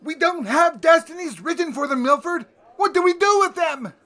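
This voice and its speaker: A man plays an anxious, dutiful radio operator in a comic audio drama. His voice is very high and sharp, coming through an intercom. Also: lively intonation, normal speed, exaggerated voice. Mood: alarmed.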